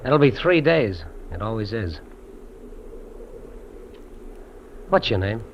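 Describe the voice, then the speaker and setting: An actor playing a lonely, lovestruck fugitive trapper, earnest and confiding, in 1950s radio drama style. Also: male voice, lowish voice, sing-song, normal speed. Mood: resigned. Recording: dull, noisy old radio recording.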